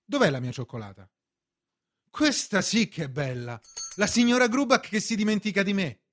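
Give the part of an indignant thirty-year-old clerk played by a male actor in a radio play. His voice is fairly high and swings widely in pitch. He is bewildered and fussy, protesting in exclamations and questions. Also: lively intonation, normal speed, a bit bright. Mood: annoyed. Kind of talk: theatrical radio drama acting.

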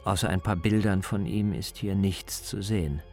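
A man's voice: deep voice, normal speed, vocal fry, darkish tone, faint music behind. A man speaking as a reporter, reading scripted narration for a radio feature.

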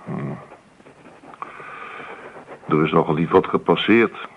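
An actor playing a middle-aged, weary father in a radio play, grumbling with gruff resignation. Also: low-pitched, slow, exaggerated voice. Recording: narrowband old radio play recording, light noise.

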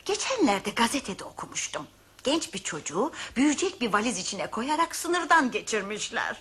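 A woman playing an inquisitive, kindly old lady in a radio drama, husky and polite. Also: fairly high pitch, big pitch swings, normal speed, a bit bright, full character voice. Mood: helpful. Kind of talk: Turkish radio drama acting.

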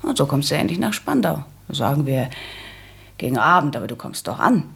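A practical, bossy young woman in a literary radio drama. Her voice is husky with a creaky grain. She lays things out firmly and matter-of-factly, like someone used to giving orders. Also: low voice, very animated, a little fast, full character voice. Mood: patient, explaining. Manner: bossy, matter-of-fact, instructive. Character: brisk, practical young daughter bossing her fiancé. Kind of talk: German literary radio play acting.

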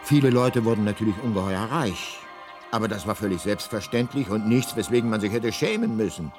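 A man narrating a science fiction radio play as a guidebook, with measured, mock-epic delivery and deliberate pauses. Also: lowish voice, lively intonation, over music, lightly acted. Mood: wry grandeur. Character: grandly ironic guidebook narrator.

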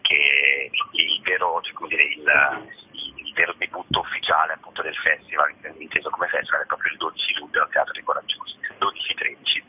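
A man's voice: rumbling low, level tone, brisk, slight background noise. A man speaking as a festival director in a radio phone interview, hesitant and often repeating himself as he searches for words. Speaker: festival artistic director, guest. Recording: muffled phone line on radio.

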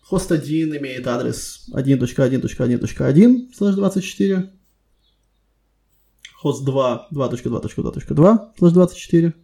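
A man with a creaky, mellow voice pitched a little high. He works as a technical interviewer, building each question deliberately and conversationally, one step at a time. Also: animated.

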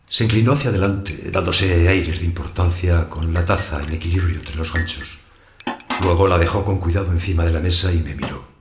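An actor playing a wary householder who narrates his own story in a scripted audio drama, in a measured, observant way. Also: sits low, background noise, lightly acted. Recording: echoey room sound, music behind.

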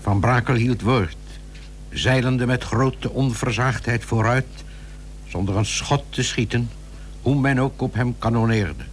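A man playing a solemn chronicler, narrating a historical radio drama deliberately from a script. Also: medium pitch, close to an everyday voice. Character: solemn chronicler of old naval battles. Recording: old radio recording with faint hum.

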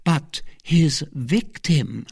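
A man doing a husky, sly noblewoman. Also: sits high, very animated, unhurried, no echo, clean studio sound, voice pushed up, exaggerated voice. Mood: sly plaintive. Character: scheming noblewoman, sighing and sly. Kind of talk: audiobook character reading.

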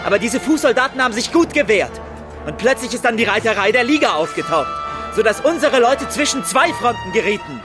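A shaken imperial officer in a radio play. His voice is very high, agitated and defeated. Music plays clearly behind him. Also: expressive, fairly quick. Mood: shaken, grim. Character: shaken, defeated male imperial courtier-soldier. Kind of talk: German radio play acting.

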